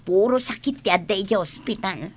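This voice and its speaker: An actress playing a chatty, teasing young-sounding friend in a radio drama, with an Ilocano accent. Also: female voice, mid-range voice, a little fast, breathy edge, lightly acted. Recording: noticeable background noise.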